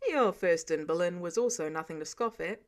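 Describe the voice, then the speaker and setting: A woman's voice: fairly low pitch, normal speed, pitched below the performer's own voice, close to an everyday voice. Woman playing weary superhero father figure, male, dry, wry, exasperated, audiobook dialogue. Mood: wry, stern.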